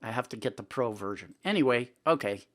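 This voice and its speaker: Informal man giving a software tutorial. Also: medium pitch, animated, brisk. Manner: informal, conversational, thinking aloud. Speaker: tutorial presenter. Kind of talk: casual screen-recording tutorial talk.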